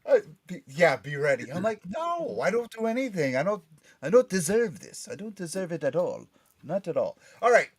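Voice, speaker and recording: A man's voice: high-pitched, sing-song, moderate pace. A man hosting a casual panel show, bantering and introducing topics, often repeating phrases for emphasis. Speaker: podcast and radio show host.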